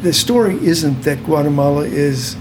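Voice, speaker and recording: A man moderating a book talk, measured and prompting the speakers. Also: fairly high pitch, expressive, background noise. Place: bookstore event.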